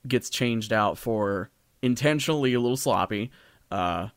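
Low-pitched man chatting in a dry space. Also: lively intonation, unhurried, dry recording. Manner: informal, hesitant, thinking aloud. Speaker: podcast co-host. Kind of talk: casual discussion of music and theatre.

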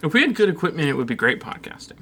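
A young man co-hosting a podcast from a home basement, tossing out absurd jokes in a deadpan way. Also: a little high, very fast. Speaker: podcast co-host. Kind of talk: casual chat.

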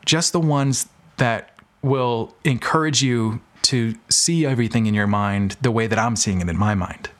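A man's voice: medium pitch, moderate pace. A man talking casually as an interview guest, explaining his creative work with examples. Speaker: podcast guest, audio drama maker and filmmaker.

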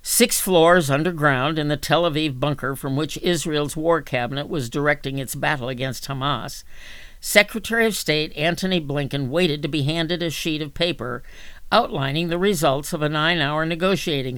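Male reader, slightly high voice, measured and deliberate delivery of long-form magazine journalism. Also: a bit bright, clean tone. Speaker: volunteer magazine reader for a reading service. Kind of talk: scripted narration, reading magazine articles aloud.

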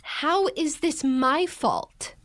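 An actress playing an outspoken, sarcastic, hot-tempered young sister in a modern audio drama, with a blunt, dramatic, slangy delivery. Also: female voice, high voice, extremely slow. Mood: exasperated.

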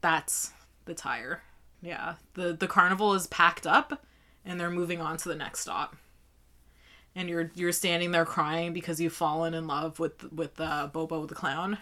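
A woman's voice: sits low, fairly even intonation, a little slow, sharp tone. A young woman co-hosting a casual beer podcast, spinning silly stories and dropping dry, whimsical quips. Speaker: podcast co-host. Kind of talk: casual beer-tasting chat.

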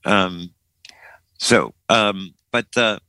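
A man's voice: fairly low pitch, very slow, bright, forceful tone, smooth, no echo. An older man hosting a casual group talk, often repeating words as he starts a thought. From Florida.

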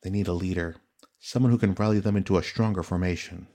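Male reader as young female clan champion: low-pitched, proud, stern with a wistful streak, fantasy audiobook. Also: steady tone, quick pace, dark tone.

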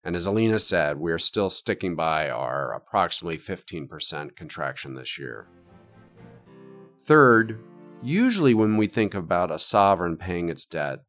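A man hosting an economics podcast, interviewing a guest and then summing up his takeaways in a measured, explanatory way. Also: deep voice, fairly bright tone. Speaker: podcast host.

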